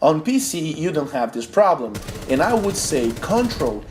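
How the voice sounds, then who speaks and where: A man's voice: on the high side, very animated, normal speed, a little mellow, a little hiss or hum. A young man from Brazil with a Brazilian accent, a non-native English speaker explaining gameplay tips step by step in a tutorial voice-over. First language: Portuguese. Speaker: gaming tutorial host.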